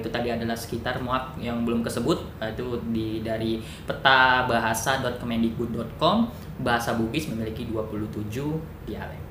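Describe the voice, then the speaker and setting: A man talks through a lesson in a loose, chatty way, stopping to correct himself and toss in little jokes. His voice sits at an ordinary pitch. The room around him is echoey, and a steady rumble of noise runs underneath. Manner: chatty, thinks aloud with fillers and self-corrections, jokey asides. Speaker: educational YouTuber. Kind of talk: casual educational monologue.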